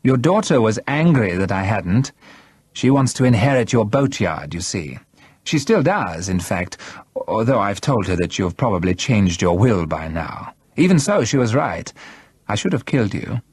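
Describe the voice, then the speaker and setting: A man's voice: on the low side, very animated, moderate pace, a little mellow, breathy edge, no room sound. A man voicing a mocking, coolly menacing male villain in an audiobook, casual, scornful and mock-polite. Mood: oddly friendly menace. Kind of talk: audiobook character acting.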